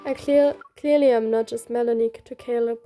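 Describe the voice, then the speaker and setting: A woman's voice: a little high, steady tone, a little fast, mellow. A woman reading a game story aloud, both the narration and the dialogue. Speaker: reader. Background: faint music.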